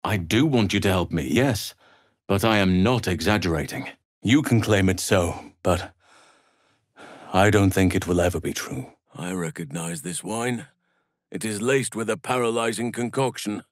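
An actor playing a big, calm, earnest male elf druid in a fantasy video game, speaking in a measured, gentle, solemn way. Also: deep voice, dry recording, studio quality, natural voice.

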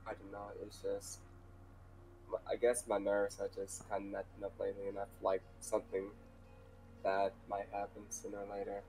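A man's voice: on the low side, completely flat delivery, normal speed, darkish tone, natural voice, rough recording. A man playing a worried, peace-seeking demon in an improvised anime game roleplay, hesitant and subdued. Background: faint music.

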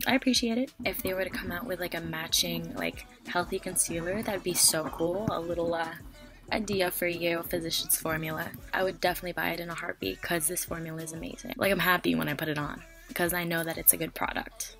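A young woman in her twenties, slightly hoarse from a cough, chatting casually through a product review into a close webcam in a small bedroom. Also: on the low side, fairly even intonation, fairly quick, over music. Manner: chatty, fillers like "like". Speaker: beauty vlogger. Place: small dim bedroom, indoors. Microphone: webcam at close range.

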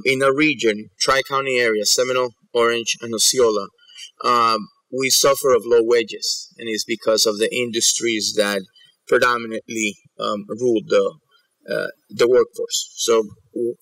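A man in his forties from New York and Ecuador giving a conference presentation in an informal, halting way, often repeating words. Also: medium pitch, bright, forceful tone, poor audio. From South Bronx, New York and Ecuador. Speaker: keynote speaker. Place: auditorium stage at a podium.

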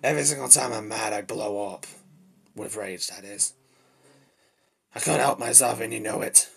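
A man voicing a short-tempered demon devil with bat-wing ears in amateur character acting, with a raspy voice and an irritable, flustered, blurting manner. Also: fairly low pitch, normal speed. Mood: grudging. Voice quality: raspy, gravelly.

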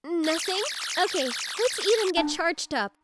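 A woman's voice: extremely high pitch, animated, measured pace, heavy background noise. A woman playing a friendly, supportive young female office coworker in a manga video dub. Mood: cheerful. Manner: encouraging, friendly.

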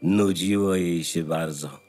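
A low-voiced man narrates a fairy tale over music. He goes slowly and measuredly, pausing for dramatic effect. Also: a little mellow. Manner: measured storytelling, dramatic pauses.

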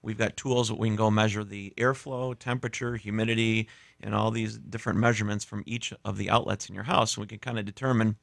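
Quick, gravelly-voiced male guest. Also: on the low side, fairly even intonation, fairly bright tone, no echo, studio quality. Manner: matter-of-fact, explanatory, some restarts. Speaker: guest heating and cooling contractor. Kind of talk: radio interview answers. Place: small radio studio. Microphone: studio mic with headphones.

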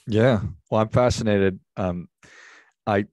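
An American man in his forties hosts a podcast interview. His voice is on the low side, recorded close and very dry, with a conversational delivery. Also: slow pace, darkish tone, dry recording. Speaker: podcast host. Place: indoor room with bookshelves, video call. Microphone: close desk microphone on boom arm.

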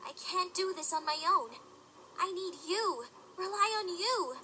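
A woman's voice: very high voice, expressive, measured pace, pushed and bright, exaggerated voice. A woman playing an earnest, anxious young pony heroine in a cartoon audio drama. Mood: realizing, determined.

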